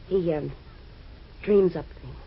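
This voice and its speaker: A sultry scheming wife. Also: female voice, lowish voice, phone-quality sound, slight background noise. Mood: insistent. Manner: smooth and coaxing, drawn-out and insinuating. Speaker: client's wife trying to buy off the detective. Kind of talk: 1940s radio acting.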